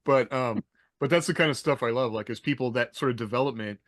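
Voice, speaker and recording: A man's voice: mid-range voice, fast, fairly bright tone, no echo. American man, casual, rambling, in a dry room. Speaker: podcast co-host. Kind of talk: casual chat, telling anecdotes.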